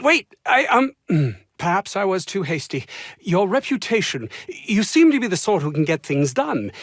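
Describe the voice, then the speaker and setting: An actor playing a haughty, anxious, ambitious lead scientist in a video game, with formal, pompous, fussy diction. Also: male voice, on the high side, dry recording, studio quality. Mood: flustered, apologetic. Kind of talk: scripted video game character dialogue.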